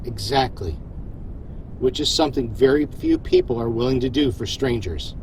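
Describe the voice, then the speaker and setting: A man acts a fatherly starship captain in a sci-fi audio drama. He is supportive and a little self-deprecating, with animated, folksy delivery. A faint rumble runs behind him. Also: lightly acted, poor audio. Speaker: voice actor.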